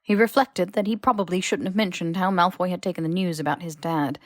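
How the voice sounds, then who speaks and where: A woman's voice: fairly low pitch, steady tone, fairly quick, dry recording. Woman narrating fiction, very dry sound. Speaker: podfic narrator. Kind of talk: scripted audiobook narration of fan fiction.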